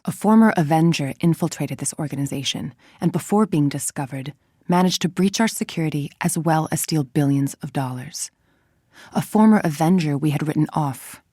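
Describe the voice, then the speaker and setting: Cold scheming scientist villain for a video game, low husky voice, controlled n menacing. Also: female voice, warm tone, no room sound, studio quality. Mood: stern, threatening. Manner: clipped, controlled, authoritative and menacing. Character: cold, calculating middle-aged female villain scientist.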